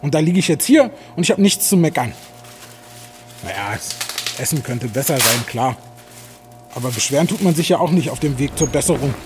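An actor playing a talkative, stoically cheerful older hospital patient in a radio drama, folksy and sure of himself. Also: male voice, mid-range voice, animated, brisk, pauses often, over loud music, close to an everyday voice. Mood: chatty, upbeat. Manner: garrulous, folksy, self-assured.

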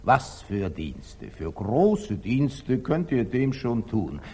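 A man's voice: mid-range voice, big pitch swings, slow pace, darkish tone, slightly rough, full character voice. An actor playing a wise, loving, teasing middle-aged merchant father in a declamatory 1940s radio drama style. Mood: insistent. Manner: declamatory, rhetorical, questioning. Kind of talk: 1940s German radio drama stage acting.

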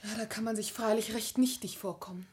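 An actress playing a gentle, earnest, anxious young gentlewoman in a classic radio play, soft and formal. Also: on the high side, steady tone, fairly quick, lightly acted. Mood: shy, uneasy. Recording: echoey, distant, noisy old radio recording.

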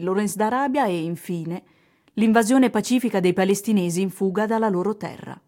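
A woman reads a scripted travel narration in a measured, evocative way, as in radio theatre. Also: mid-range voice, a little mellow. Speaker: reader in a radio theatre production. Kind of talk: dramatic literary narration, read from a script.

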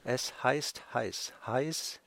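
Man repeating little phrases like sound poetry, mid voice, slow. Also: medium pitch, vocal fry, a bit bright, dry recording. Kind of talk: repetitive spoken-word sound poetry.

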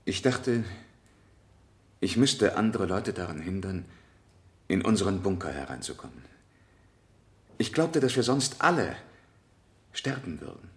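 Weary, defensive middle-aged man, low voice with lively swings, radio drama witness. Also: measured pace, long pauses, exaggerated voice. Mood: weary, subdued. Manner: terse, guarded, subdued answers. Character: defensive married father under questioning, weary and guarded. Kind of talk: 1970 German radio play acting.

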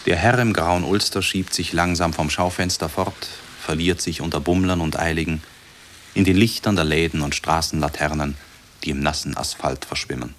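An actor playing an observant storyteller, narrating a literary radio play in a measured, descriptive way. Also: male voice, low-pitched, steady tone, a bit bright, slight background noise. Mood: observant, sober. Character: observant male storyteller of a Christmas street scene.

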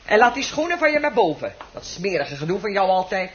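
Actress for sharp-tongued nagging housewife, curt and scolding, swooping intonation, radio drama, dull old recording. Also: female voice, mid-range voice, big pitch swings, a little fast, soft background music, natural voice. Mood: exasperated, scolding. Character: nagging, exasperated housewife scolding her husband. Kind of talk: Dutch radio play acting.

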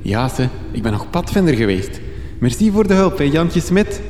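A man's voice: a little high, sing-song, normal speed, darkish tone, roomy sound, big-room sound, slight background noise. A man with a Flemish accent playing a naive, chirpy young adventurer in a radio comedy drama, earnest and chatty. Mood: cheerful, earnest. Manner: earnest, chatty, quaint exclamations.

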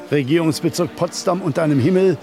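High-pitched, florid radio reporter. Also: male voice, gravelly. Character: high-strung, florid Berlin radio reporter, self-doubting, trapped in studio. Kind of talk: German radio drama acting.

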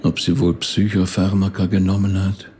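An actor playing a thoughtful, gently earnest rabbi in radio drama dialogue. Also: male voice, deep voice, flat delivery, normal speed, slight background noise, close to an everyday voice. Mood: quiet, pensive.

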